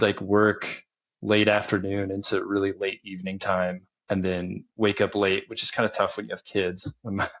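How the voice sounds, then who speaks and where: A man in his thirties, a podcast guest, talking casually about his business and travels, relaxed and often chuckling. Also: on the low side, muffled recording. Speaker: podcast guest, land investor. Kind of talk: casual interview talk.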